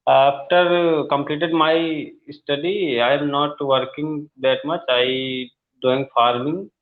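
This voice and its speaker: An Indian man with an Indian accent practices English over a tinny, phone-like online call. He talks haltingly with many fillers, and his grammar marks him as a non-native speaker. His voice sits a little high for a man. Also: steady tone. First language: not English. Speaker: English learner.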